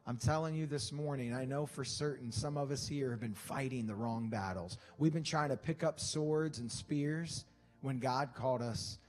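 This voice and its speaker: A man in his thirties preaching a conversational sermon on a church stage into a handheld microphone, animated and playful. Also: mid-range voice, moderate pace. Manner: animated, conversational, with laughs and acted-out asides. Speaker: guest preacher. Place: church stage with wooden wall, indoors.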